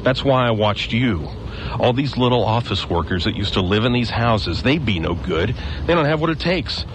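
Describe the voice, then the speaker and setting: An actor playing a hardened former guardsman who survives alone in a ruined town, gruff and matter-of-fact, lecturing with bitter conviction. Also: mid-range voice, lively intonation, moderate pace, a little hiss or hum, lightly acted, poor audio. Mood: scornful. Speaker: stranger with a knife. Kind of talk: local radio drama.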